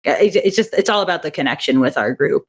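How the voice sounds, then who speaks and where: A woman's voice: deep voice, animated, quick pace, breathy edge. A woman, an audio drama writer and director, talking casually in an interview, chatty with frequent restarts and easy laughter.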